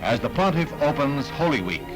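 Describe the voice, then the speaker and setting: A man's voice: a little high, very animated, normal speed, rough recording. An American man reading scripted 1960s newsreel narration in a punchy, wry announcer's delivery. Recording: 1960s newsreel soundtrack, music behind.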